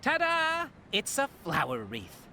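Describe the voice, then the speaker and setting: A man's voice: high voice, wildly swooping pitch, takes it slowly, sharp tone, a little hiss or hum, heavily acted. A man playing a boisterous, teasing, sociable festival-goer in a video game, playful and exclaiming. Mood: cheerful, playful. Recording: background music under the voice.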